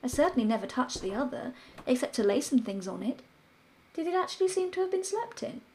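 A woman's voice: high-pitched, sing-song, brisk, a little mellow, voice pushed up, poor audio. A woman voicing a prim, fussy male professor who is a firm skeptic. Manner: precise, prim, slightly pompous.

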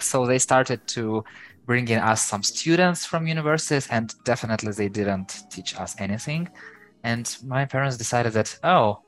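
A man with a Ukrainian accent, a guest on an interview podcast, talking in a lively, hesitant way full of fillers. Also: mid-range voice, low-quality recording. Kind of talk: casual interview chat.

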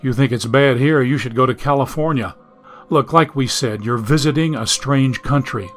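A man reading an audiobook, voicing a calm modern man who steadily reassures a frightened friend. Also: mid-range voice, normal speed, soft background music, pitched below the performer's own voice, natural voice. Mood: reassuring. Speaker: audiobook narrator.

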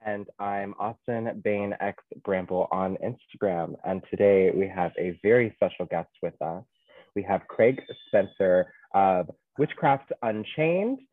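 Low-voiced co-host on a tinny line. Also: male voice, measured pace, dry recording. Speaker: podcast co-host. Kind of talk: podcast intro. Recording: dry, phone-like sound.

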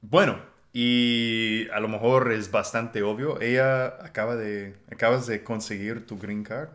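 A man with an American accent hosting a casual podcast in Spanish, relaxed and quick to laugh. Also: lowish voice. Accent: American English. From United States. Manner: relaxed, unhurried, laughs easily. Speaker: podcast host. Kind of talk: casual chat.

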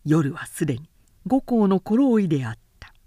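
A woman who works as a narrator reads a literary tale dramatically, in a measured, carefully articulated way. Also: on the low side, sing-song, dark tone, dry recording, studio quality. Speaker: professional narrator.

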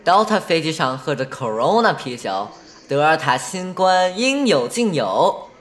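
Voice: medium pitch, sing-song, measured pace. A performer playing a cheeky younger brother in a radio drama, teasing in a sardonic, pointed way. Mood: sarcastic. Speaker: voice actor. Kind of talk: Chinese radio drama dialogue.

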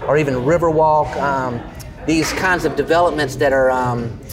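A man hosts a casual podcast in a relaxed, easygoing way, his voice sitting a little high. He pauses a lot, saying um and uh often. Music plays clearly behind him. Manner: relaxed, many fillers and restarts. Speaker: podcast host. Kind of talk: casual interview chat. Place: local brewery.

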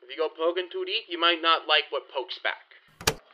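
Guarded 1920s underworld fixer played by a male actor, slangy period drama delivery, higher male register, dulled lo-fi recording. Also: normal speed, close to an everyday voice. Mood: skeptical, warning. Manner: slangy old-time gangster talk. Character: 1920s bootlegging-connected man, shrewd, guarded, wryly skeptical. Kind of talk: period audio drama acting.

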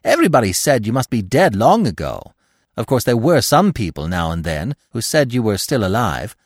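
A man voicing an excitable, gossipy little male squirrel with a shrill, eager voice. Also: normal speed, no room sound, clean studio sound. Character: excitable chattering male squirrel, small and nosy.

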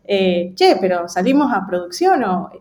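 A woman's voice: medium pitch, big pitch swings, normal speed, a little mellow. Woman, slang, candid interview guest, mid-range voice, roomy echo. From Ecuador. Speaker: interview guest, design professional. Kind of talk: casual conversational interview. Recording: roomy, echoing sound.

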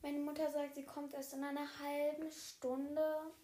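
A sick young apprentice, played by a woman, speaks in a hoarse, half-whispered voice. She is shy and apologetic and searches for her words with a slightly high pitch. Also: little pitch movement, a little slow. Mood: sheepish. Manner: quiet, half-whispered, hesitant.

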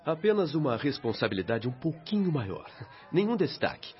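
An actor with a Brazilian accent playing a friendly, modest comrade in a radio drama, affable and courteous. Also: male voice, fairly high pitch, very animated, moderate pace, soft background music. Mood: modest, polite. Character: friendly, modest male comrade greeting his dear friend. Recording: dull lo-fi radio drama sound.

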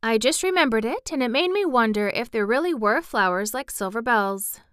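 A woman's voice: on the high side, expressive, normal speed, a bit bright, clear, resonant tone, no room sound, studio quality, pitched above the performer's own voice. A woman voicing an eager, anxious young girl in a storybook reading, earnest and emphatic in manner. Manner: pleading, emphatic.

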